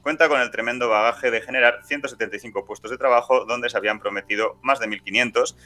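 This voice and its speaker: A man's voice: medium pitch, fairly even intonation, quick pace, sharp tone, faint music behind. A man hosting a political podcast, speaking in a sardonic, rhetorical way. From Aragon, Spain. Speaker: podcast host. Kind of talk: political podcast editorial and co-host banter.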